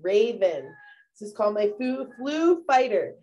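A woman's voice: medium pitch, big pitch swings, measured pace, dark tone, muffled recording. A woman, a mother, casually presenting products from her bathroom at home, chatty and full of fillers. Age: young adult or middle-aged mother. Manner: chatty, many fillers, addresses viewers as "you guys". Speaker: essential oil presenter.

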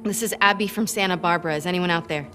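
A woman's voice: lowish voice, quick pace, music in the background, natural voice. A woman playing a tough, determined young survivor in a game, terse and matter-of-fact. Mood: hopeful, urgent. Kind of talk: naturalistic game acting.

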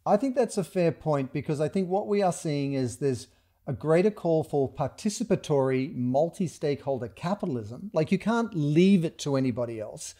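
A man hosting a video call interview, giving brief agreeing interjections and measured comments. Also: a little high, lively intonation, warm tone. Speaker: host. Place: dim room indoors.

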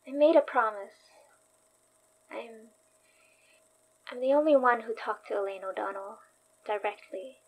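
Anxious loyal young woman, kinda high voice, hesitant and earnest, horror podcast drama. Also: narrow, tinny audio. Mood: earnest, insistent. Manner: hesitant, halting. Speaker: supporting character in a horror audio drama. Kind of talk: naturalistic audio drama acting.

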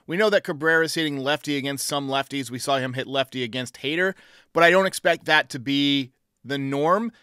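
Male sports talk host, thirties, forceful and clean-voiced, close on the mic in a studio. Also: medium pitch, a little fast, clear, resonant tone, dry recording. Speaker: host of a sports fan show. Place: small home studio, indoors. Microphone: close studio mic on boom arm.

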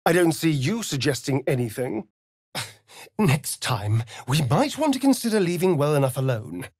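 An actor playing a sardonic, flirtatious vampire elf rogue in a video game, with an arch, droll, theatrical delivery. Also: male voice, on the high side, big pitch swings, moderate pace, a little mellow, husky, dry recording, clean studio sound, heavily acted. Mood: arch, teasing. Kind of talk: video game character voice lines.

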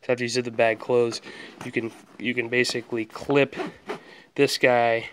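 A young man casually walking through a product at home, relaxed and rambling with lots of ums and restarts, filmed on a handheld phone. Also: medium pitch, normal speed, slight background noise. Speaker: product reviewer. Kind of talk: casual hands-on product walkthrough. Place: indoors, carpeted room at home.

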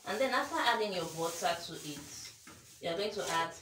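A woman's voice: fairly low pitch, expressive, fairly quick. A young Nigerian woman in her twenties with a Nigerian accent, chatting casually through a baking tutorial in a small home kitchen while she works. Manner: chatty, self-deprecating, talks while working. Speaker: baking vlogger. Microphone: camera mic from across the kitchen. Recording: echoey kitchen from far off, noisy.

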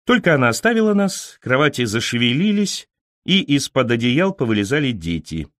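A man narrates a literary audiobook in a mid-pitched voice. His delivery is expressive, with animated intonation, and the sound is dry and close. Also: fairly quick, dry recording.

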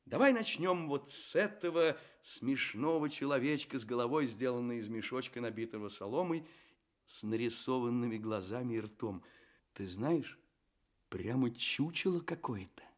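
An actor playing a courteous, playful storyteller with theatrical, drawn-out emphasis in a children's radio play. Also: male voice, medium pitch, expressive, lightly acted. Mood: polite, curious. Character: courteous, playful male storyteller addressing fairy-tale characters. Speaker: storyteller and host.

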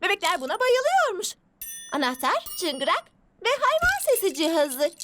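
A woman's voice: very high-pitched, wildly swooping pitch, normal speed, bright, forceful tone, soft background music, heavily acted. A woman voicing a chatty, dramatic young girl in a children's cartoon dub, with a chirpy delivery.

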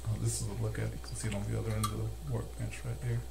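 A man's voice: on the low side, level tone, rapid-fire, vocal fry. An older man narrating a hands-on technical tutorial in a methodical, step-by-step way, as a technician at his workbench. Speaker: repair technician demonstrating calibration. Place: cluttered indoor electronics workshop. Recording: noisy workshop room recording.

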